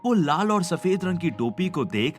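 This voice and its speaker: A man's voice: on the high side, very animated, normal speed. A man reading scripted narration for a cartoon story. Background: background music.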